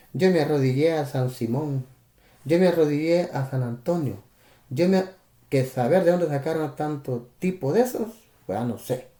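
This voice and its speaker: Salvadoran pastor preaching, warm and echoey. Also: male voice, medium pitch. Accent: Salvadoran Spanish. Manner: conversational preaching with rhetorical questions and asides to listeners. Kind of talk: preaching on a live stream.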